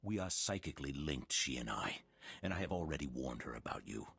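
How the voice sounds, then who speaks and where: A stern old Jedi master from a video game. The voice is extremely deep and heavily husked. He speaks formally and solemnly, calm and unyielding, with measured weight. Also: dry recording, full character voice. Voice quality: very deep, husky.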